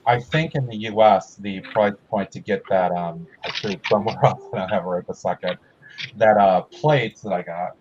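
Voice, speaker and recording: A friendly male host chats on a casual home livestream, laughing easily and welcoming viewers. His voice sits somewhat low, and the stream audio is dull and lo-fi with a faint hiss and occasional clicks. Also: moderate pace. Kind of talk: casual chat.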